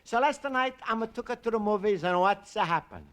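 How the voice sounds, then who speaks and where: An actor playing a cheerful, naive Italian immigrant in a 1950s radio comedy, speaking accented broken English with extra vowels. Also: male voice, sits high, lively intonation, normal speed, a bit bright. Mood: exasperated. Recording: noisy old radio recording with clicks.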